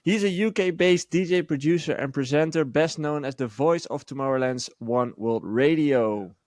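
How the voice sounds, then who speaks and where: A man's voice: fairly high pitch, normal speed, a little mellow, no echo, clean studio sound. Upbeat young man hosting a podcast. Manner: upbeat, welcoming, greets listeners. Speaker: podcast host. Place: home studio, indoors. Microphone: studio mic on boom arm, video call.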